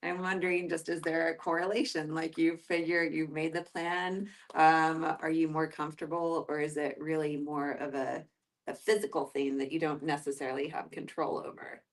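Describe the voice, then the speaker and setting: A woman with a distinctly low voice takes part in a casual group discussion. She asks in a tentative way, rephrasing as she goes. The microphone sits some way off. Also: flat delivery, normal speed. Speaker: discussion participant asking questions. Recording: distant mic.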